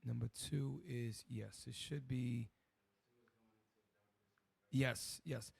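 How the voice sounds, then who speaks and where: A hoarse man hosts a loose, rambling commentary in a preaching tone. His voice is gravelly and the sound is dry and close. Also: medium pitch, fairly even intonation, drawn-out words, darkish tone, no echo. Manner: loose and rambling, many restarts. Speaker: host.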